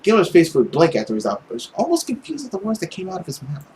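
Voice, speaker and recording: Expressive man reading out a visual novel. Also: high-pitched, fast talker, a little hiss or hum. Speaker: video game let's player. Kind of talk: dramatic read-aloud narration of a visual novel.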